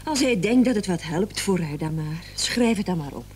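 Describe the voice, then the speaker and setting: A woman's voice: fairly low pitch, expressive, moderate pace, darkish tone, close to an everyday voice. An actress playing a practical wife, mildly impatient as she humours her husband, in a radio drama. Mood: sceptical. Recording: old radio recording, faint background noise.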